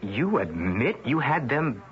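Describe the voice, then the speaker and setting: A man's voice: mid-range voice, sing-song, slow. An actor playing a blunt, defiant, indignant male reporter in a radio drama. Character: indignant, hard-boiled male newspaper reporter. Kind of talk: 1970s radio drama acting. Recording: muffled old radio broadcast.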